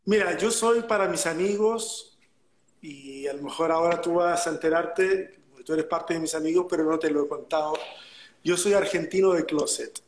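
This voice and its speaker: A man with a Chilean accent speaks as an interview guest over a video call. His voice is high for a man, and the call audio sounds roomy and echoey. He tells his story in a calm, reflective way. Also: moderate pace. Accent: Chilean Spanish. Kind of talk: casual interview chat.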